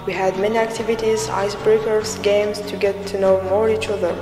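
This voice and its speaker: A woman's voice: mid-range voice, flat delivery, a little fast, music in the background. A woman from Algeria, a volunteer, giving fluent, reflective interview answers. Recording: roomy echo.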